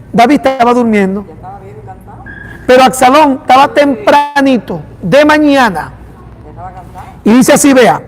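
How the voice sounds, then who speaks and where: A man with a Venezuelan accent, a pastor preaching an animated sermon and acting out dialogue. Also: very high-pitched. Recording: long hall reverb.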